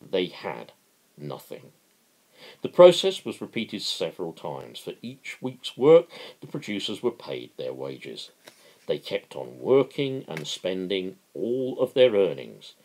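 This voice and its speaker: A middle-aged man giving a dramatic reading and impassioned political commentary, emphatic and deliberate, with dramatic pauses. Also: low-pitched, huge pitch swings, darkish tone, rough recording. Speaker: amateur reader and political commentator. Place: small living room at home, indoors.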